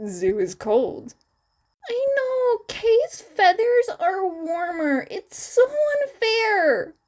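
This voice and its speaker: A woman acts out a sulky teenage boy with wings in a fan fiction tale. He sounds childlike and plaintive, pitched well up high, with a whiny delivery. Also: very animated, drawn-out words, a little mellow, full character voice.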